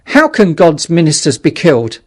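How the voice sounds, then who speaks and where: Man acting a frantic, high-voiced doomsaying curate. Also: huge pitch swings, normal speed. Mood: frightened. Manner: frantic, wailing, biblical preaching. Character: distraught, half-mad curate raving of doom.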